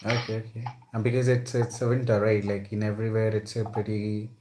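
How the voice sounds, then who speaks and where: A young Indian man with an Indian accent speaks in a somewhat low voice and keeps the chat easy and friendly. He often fills his sentences with "like" and tags them with "right?". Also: level tone, a little fast, background noise. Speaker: conversation partner. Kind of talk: casual conversation practice.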